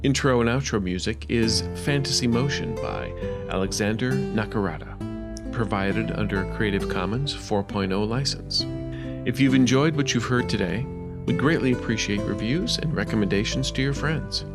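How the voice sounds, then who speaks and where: A man's voice: fairly low pitch, moderate pace. A man reading a formal scripted outro with credits and license details. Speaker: podcast announcer. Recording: music bed underneath.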